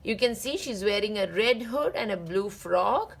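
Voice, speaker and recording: A woman hosting a read-aloud, narrating a children's story deliberately with drawn-out phrase endings. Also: medium pitch, lively intonation, creaky, breathy edge. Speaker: read-aloud channel host.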